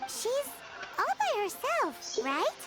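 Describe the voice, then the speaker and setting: A woman voicing a tiny, childlike, nosy fairy companion in a video game, with squeaky, exaggerated delivery. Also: slow pace, over loud music, full character voice. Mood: creeped out. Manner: refers to self in third person, exaggerated expressive delivery.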